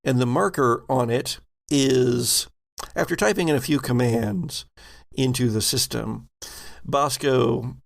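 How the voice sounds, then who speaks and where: A man acting as game master, narrating a tabletop roleplaying game in a halting, drawn-out way. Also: medium pitch, gravelly.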